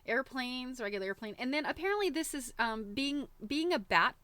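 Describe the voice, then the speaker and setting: A woman host chatting casually on a livestream in an informal, halting way, thinking aloud as she goes. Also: high voice, lively intonation, bright, forceful tone, no echo. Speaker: host of a live quilting book show. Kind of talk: casual livestream chat, commenting while browsing a book.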